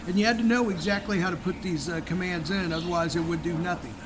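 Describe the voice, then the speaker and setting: High-voiced American man over noisy background. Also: fast. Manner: salty, slangy. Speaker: podcast co-host. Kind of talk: casual chat, reminiscing. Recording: clearly noisy, rumbling background.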